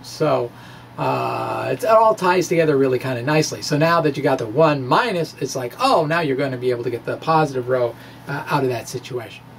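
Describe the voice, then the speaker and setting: A middle-aged man explaining physics informally to camera in a halting, conversational way with animated emphasis. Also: medium pitch. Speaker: science video blogger. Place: small indoor room with bookshelves. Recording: clear steady background noise.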